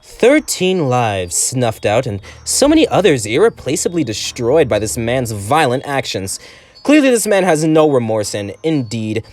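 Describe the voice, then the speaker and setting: A man voicing a slick, self-assured male prosecuting attorney who speaks in a smooth, formal way. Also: mid-range voice, a little mellow, lightly acted.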